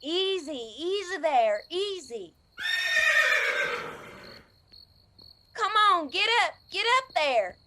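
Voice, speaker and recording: A woman's voice: extremely high pitch, lively intonation, a little slow, heavy background noise. A woman playing a feisty, folksy older cowgirl in an old-time western radio drama. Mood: urgent, bossy.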